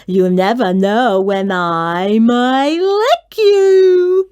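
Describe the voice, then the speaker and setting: A woman doing a slow, slobbery boy monster. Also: fairly high pitch, lively intonation, darkish tone, clear, resonant tone, dry recording, dull audio. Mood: would-be menacing. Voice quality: slurping, slobbery. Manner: drawn-out, would-be menacing. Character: big scruffy boy monster, slobbery and whiny.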